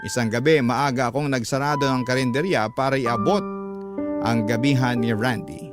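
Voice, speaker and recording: A man's voice: mid-range voice, normal speed. Male radio storyteller over prominent music. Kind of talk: first-person dramatic narration of a listener's letter. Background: prominent background music.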